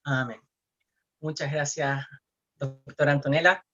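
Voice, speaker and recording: A man's voice: on the high side, steady tone, measured pace, fairly bright tone. Chilean man with hesitant fillers, lo-fi sound. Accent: Chilean Spanish. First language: Spanish. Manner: hesitant, frequent fillers and tag questions. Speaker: co-host. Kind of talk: hosting an online forum.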